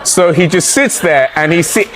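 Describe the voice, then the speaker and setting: A man's voice: fairly high pitch, lively intonation, moderate pace, fairly bright tone, a little hiss or hum. A man telling a funny family story, laughing as he talks. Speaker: guest. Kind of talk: comedic storytelling.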